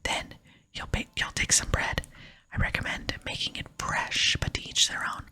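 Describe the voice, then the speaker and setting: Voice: normal speed, sharp tone. A person voicing a proud, grumpy video game cook who scolds in a whisper. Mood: proud, bossy. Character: gruff, proud cook guarding the kitchen, quick to scold.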